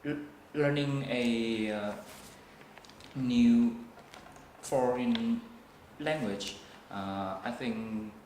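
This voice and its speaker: A young man with a Vietnamese accent answers test questions very slowly, stopping often on fillers and restarting his words. His voice echoes in the room, with faint noise behind it. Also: mid-range voice, a little mellow. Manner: hesitant, slow, many fillers and restarts. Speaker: student test taker.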